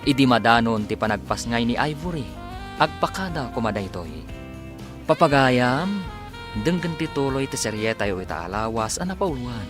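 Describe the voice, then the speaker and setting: A man's voice: mid-range voice, very animated, normal speed. A man with an Ilocano accent delivering scripted, dramatic narration as the announcer of a radio serial. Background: music.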